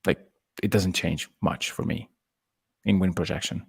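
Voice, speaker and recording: A man's voice: low-pitched, fairly even intonation, moderate pace, creaky, breathy edge. A man co-hosting a sports podcast in a casual, conversational debate.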